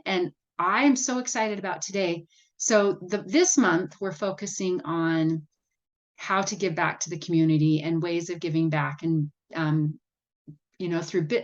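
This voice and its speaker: A woman hosting an interview, rambling and correcting herself as she introduces her guest. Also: on the low side. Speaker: host. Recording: dull lo-fi sound.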